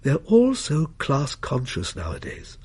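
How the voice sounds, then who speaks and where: A man's voice: medium pitch, wildly swooping pitch, unhurried, darkish tone, slightly rough, exaggerated voice. A man reading a story, voicing a proper, cautious middle-aged mother.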